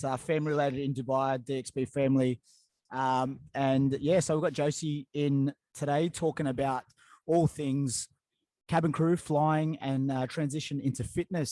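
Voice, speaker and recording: A man's voice: medium pitch, no echo, rough recording. Laid-back man hosting a podcast. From Australia. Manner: relaxed, rambling, lots of fillers. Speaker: podcast host. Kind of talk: casual interview chat. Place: living in Dubai.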